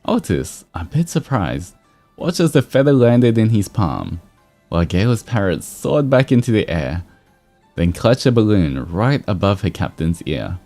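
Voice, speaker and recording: Deep, slow-paced man with animated delivery. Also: soft background music. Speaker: livestream host reading fan fiction aloud. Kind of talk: expressive story reading mixed with casual chat.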